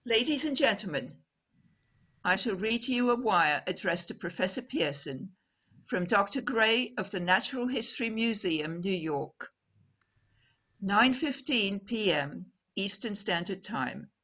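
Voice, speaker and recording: A woman plays an earnest female radio reporter with a bright, projected voice that swings widely in pitch. She is poised and formal, stressing her words deliberately in the style of an old-time radio drama. The sound is slightly dull and lo-fi. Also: lively intonation. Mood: formal, urgent.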